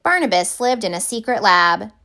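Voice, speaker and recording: A woman reading a children's picture book aloud as a storyteller, with deliberate, expressive phrasing. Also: mid-range voice.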